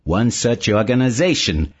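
Male narrator as an eager, confident investigator in an audiobook, very deep and animated, emphatic delivery, lo-fi sound. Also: measured pace, breathy edge, exaggerated voice. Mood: reasoning, pleased. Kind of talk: audiobook character dialogue. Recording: dull lo-fi recording.

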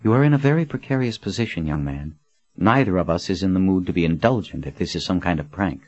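A man's voice: sits low, animated, fast talker, a little mellow, no echo, muffled recording. A man voicing a stern, commanding woman leader who speaks firmly and threateningly. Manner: firm, threatening, controlled.

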